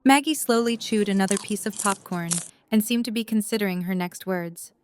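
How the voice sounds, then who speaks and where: A woman's voice: mid-range voice, fairly quick, slight background noise. A woman reading scripted story narration as the narrator of an audio drama.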